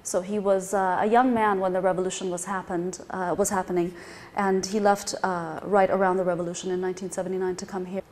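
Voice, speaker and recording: A middle-aged woman, a Persian-speaking translator born in London, giving a thoughtful, explanatory talk to a live audience, often pausing on uh and um. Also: lowish voice, flat delivery, darkish tone. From born in London, grew up partly in Iran. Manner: thoughtful, explanatory, frequent uh and um fillers. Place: reading event venue, in front of an audience.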